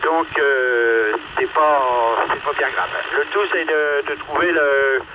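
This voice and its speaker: A man's voice: fairly high pitch, slow pace. A man in a casual amateur radio chat, talking in a hesitant, rambling way. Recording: very noisy radio transmission, loud engine-like drone.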